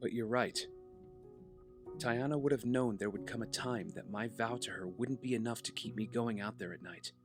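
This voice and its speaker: An earnest young vigilante hero, played by a male actor in an audio drama. He sounds sincere and burdened with doubt, at a middling male pitch. Music plays clearly behind him. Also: normal speed, natural voice. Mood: fond, resolved.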